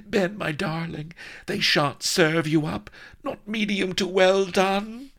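A man voicing a doting, excitable young woman with gushing melodrama. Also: very high voice, very slow, gravelly, pitched above the performer's own voice, exaggerated voice. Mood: fretful devotion.

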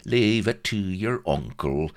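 A man's voice: on the low side, huge pitch swings, takes it slowly, creaky, voice pushed up, heavily acted. A man voicing a shifty, unctuous male shyster from a storybook, with a wheedling, colloquial way of talking.

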